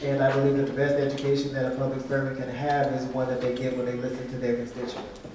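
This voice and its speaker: A man delivers a campaign statement in an even, hesitant manner, pausing on fillers. His pitch stays flat and level throughout. He is heard from afar in a strongly echoing hall. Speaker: mayoral candidate. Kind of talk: opening statement speech. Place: civic center event room. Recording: echoey hall, far mic, faint noise.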